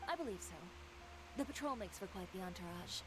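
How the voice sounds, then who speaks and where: A woman's voice: mid-range voice, expressive, brisk, noisy background, natural voice. A woman voicing a composed, confident female fashion designer and boutique owner in a studio game. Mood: businesslike.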